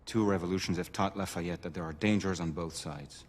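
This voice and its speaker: Very low-voiced marquis. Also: male voice, a little fast. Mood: stern respect. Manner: formal, theatrical. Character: proud, courtly French revolutionary marquis. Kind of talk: dramatic video game cutscene acting.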